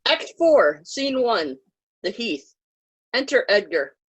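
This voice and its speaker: A woman's voice: a little high, big pitch swings, slow, slightly rough, muffled recording. A woman reading out stage directions as a play's narrator, in a neutral style.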